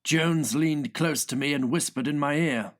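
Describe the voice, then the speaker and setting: A man's voice: on the high side, a little slow. A man narrating scripted comic fiction as an audiobook reader, with a theatrical storytelling delivery.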